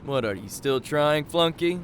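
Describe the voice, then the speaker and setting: Sneering schoolboy bully, higher-pitched, slow taunting delivery, audio drama, noisy rumbling recording. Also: male voice, animated. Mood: smug, mocking. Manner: taunting, mocking.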